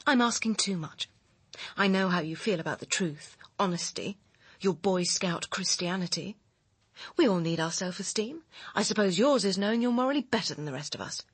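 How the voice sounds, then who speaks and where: Bitter, husky British woman. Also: mid-range voice, animated, moderate pace, dry recording. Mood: scornful, accusing. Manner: pressing, cutting, articulate. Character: bitter, persuasive young female personal assistant with secrets. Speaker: radio drama actress. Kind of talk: radio crime drama acting.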